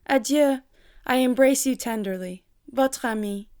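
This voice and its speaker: A woman's voice: on the high side, unhurried, darkish tone, clear, resonant tone, no echo, clean studio sound, natural voice. A woman playing a poised, polite and ambitious young lady in a period audio drama. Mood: gushing, genteel. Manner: genteel, proper.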